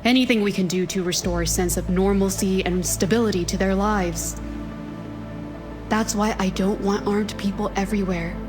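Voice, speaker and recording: Female actor for idealistic aunt who runs a survivor settlement: medium pitch, earnest, articulate, firm, game dialogue over music. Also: fairly even intonation, normal speed, lightly acted. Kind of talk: video game cutscene acting.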